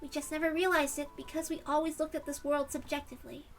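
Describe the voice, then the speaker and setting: Woman voicing a solemn, high-voiced musing girl. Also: flat delivery, fast talker, faint music behind.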